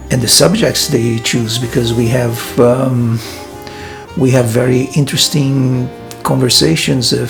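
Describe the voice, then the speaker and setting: Guy musing from his monastery room, slow, hesitant, lots of ums, faint music behind. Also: mid-range voice, gravelly. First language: Portuguese. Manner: thoughtful, hesitant, many pauses and fillers. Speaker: filmmaker and vlog host. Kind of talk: reflective personal vlog.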